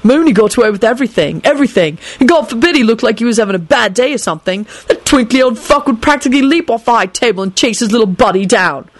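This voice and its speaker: A woman's voice: fairly high pitch, expressive, quick pace, few pauses, no room sound, pitched above the performer's own voice. A woman narrator acts out a smug young man from a storybook. His voice sits raised. He taunts and needles with complacent, cocky ease. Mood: smug grievance. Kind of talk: audiobook-style character dialogue.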